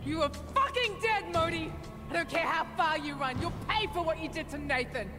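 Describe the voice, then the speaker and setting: A woman's voice: extremely high pitch, normal speed, music in the background. An actress playing a tough, vengeful young woman in a video game, blunt and fiercely protective. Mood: furious. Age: young adult. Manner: blunt, hard-edged. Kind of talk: video game cutscene acting.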